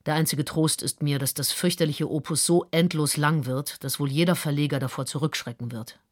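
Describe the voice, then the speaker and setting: A woman's voice: sits low, fairly even intonation, brisk, no echo, studio quality. A woman playing a prim, disapproving older aunt who reads her letter with indignant propriety. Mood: scornful, worried. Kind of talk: dramatic reading of a letter.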